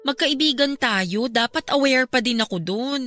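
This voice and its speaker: A woman's voice: high-pitched, moderate pace, a bit bright, faint music behind. An actress playing a concerned, sympathetic young woman questioning a friend in a radio drama. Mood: surprised, probing.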